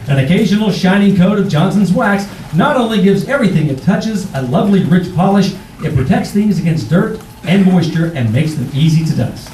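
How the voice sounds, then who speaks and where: A man playing a friendly, persuasive pitchman in a scripted 1940s-style radio advertisement. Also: darkish tone. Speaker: commercial pitchman. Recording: roomy distant stage pickup, faint noise.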